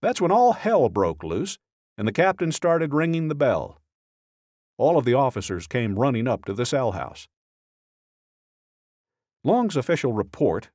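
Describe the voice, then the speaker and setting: A man reading a scripted true-crime audiobook in a measured, dramatic storytelling way, close to a studio microphone. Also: medium pitch, dry recording. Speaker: audiobook narrator.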